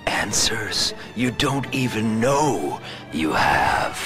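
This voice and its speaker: Very slow, menacing psychopath. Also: male voice, on the low side, big pitch swings, exaggerated voice. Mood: creepy amusement. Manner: drawn-out menace. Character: menacing, gloating male psychopathic prisoner. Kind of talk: video game cutscene acting. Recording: music playing behind the voice.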